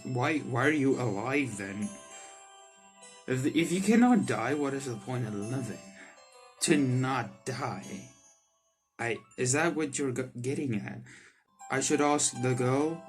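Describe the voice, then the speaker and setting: A man doing let's play commentary on a visual novel, reading the text aloud and reacting to it excitably. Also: mid-range voice, unhurried. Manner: excitable, reacts in sudden loud outbursts. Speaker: streamer playing a visual novel. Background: soft game music.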